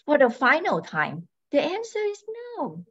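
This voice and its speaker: A sensible, no-nonsense sister in an old-time radio play, acted by a woman. Her voice is very high and swoops with scolding, and the sound is a bit dull. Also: wildly swooping pitch, measured pace, a bit bright, full character voice. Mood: exasperated. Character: sensible, scolding young farm-raised twin sister. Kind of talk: amateur old-time radio comedy-mystery acting.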